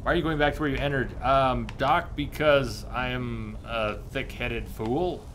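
A man's voice: medium pitch, steady tone, a little slow, fairly bright tone, a little hiss or hum. A man in his thirties giving casual, easygoing game commentary, thinking aloud and chatting with viewers. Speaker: live game streamer. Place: indoor room, streaming at a desk.

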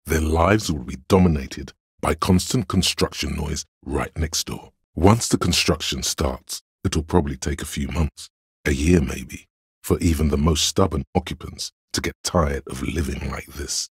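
An actor voicing a cool, analytical inner voice of logic in a detective game, narrating in a measured, dryly wry way. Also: male voice, rumbling low, slightly rough, no echo, studio quality, full character voice. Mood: matter-of-fact. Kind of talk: scripted second-person game narration.

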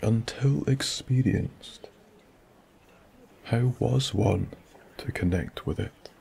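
A man with a Scottish accent narrating a soft-spoken bedtime story in a slow, hushed, soothing way that drifts into whispers. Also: mid-range voice, gravelly, a little hiss or hum.

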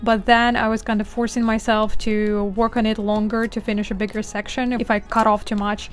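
A woman's voice: medium pitch, level tone, brisk. A woman giving a casual, chatty voice-over about her craft project, laughing often and sounding mildly exasperated. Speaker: craft vlogger. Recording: voice-over with faint music behind.

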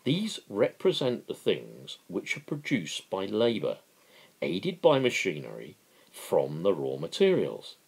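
A man voicing a working man who patiently lectures as a pretend capitalist in a novel, emphatic and explanatory, with a gravelly voice. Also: low voice, heavily acted, poor audio.

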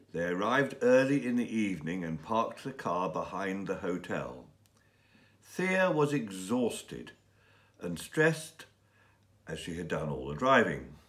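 A man reads a short story for learners, enunciating carefully and deliberately. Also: sits low. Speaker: story reader. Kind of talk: scripted story narration for English learners. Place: small indoor room with bookshelves and window.

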